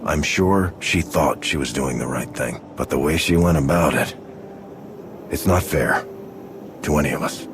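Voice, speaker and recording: A man's voice: rumbling low, measured pace, background noise. A man playing a principled military leader in a video game cutscene, direct and serious. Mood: concerned. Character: principled male military leader, firm but caring. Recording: loud music behind. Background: music.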